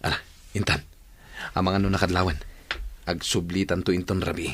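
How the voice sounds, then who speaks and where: Scheming lead thief for radio drama: very low voice, cautious, Ilocano accent. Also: male voice, little pitch movement, a little fast, fairly bright tone, breathy edge, no room sound, full character voice. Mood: cautious, conspiring.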